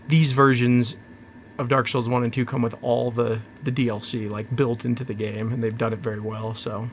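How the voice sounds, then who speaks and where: A man hosting his own channel, chatting casually and rambling through an unboxing, hoarse from a cold. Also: mid-range voice, slight background noise. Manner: rambling, chatty, self-interrupting. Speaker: channel host.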